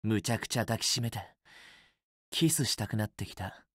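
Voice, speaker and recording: Young student boyfriend, romance game character, possessive, teasing, softly coaxing, dry close recording. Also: male voice, fairly low pitch, moderate pace, breathy edge, no room sound. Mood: tender, restrained longing. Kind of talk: Japanese otome game voice acting, intimate romantic dialogue.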